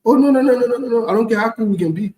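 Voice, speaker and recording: A man's voice: very high voice, rapid-fire, darkish tone. A man from the Ivory Coast with a West African accent, delivering a blunt, indignant, unscripted political rant and repeating phrases for emphasis. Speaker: online political commentator. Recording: echoey room.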